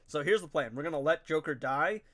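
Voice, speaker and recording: A young man with a nasal, stuffed-up voice gives loose gameplay commentary to his live chat. He talks in a chatty, slangy way, and his voice sits a bit on the higher side. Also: brisk, fairly bright tone. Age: young adult. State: stuffy, congested nose. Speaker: game streamer.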